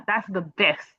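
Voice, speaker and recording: A woman's voice: dry recording, dull audio. A woman from Nigeria in her thirties, an emotional wellness coach chatting earnestly as an interview guest and laughing easily. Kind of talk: casual interview chat.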